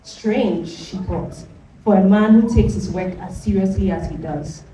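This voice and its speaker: A woman's voice: mid-range voice, a little slow, mellow, low-quality recording. A woman narrating a scripted story as part of a dramatized reading.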